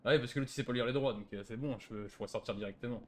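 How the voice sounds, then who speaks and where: A man with a medium-pitched voice argues casually in an online game chat. He is a player making his complaint, insistent and protesting as he pushes his point. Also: very fast, a little mellow, a little hiss or hum. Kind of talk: casual argument in online role-play chat.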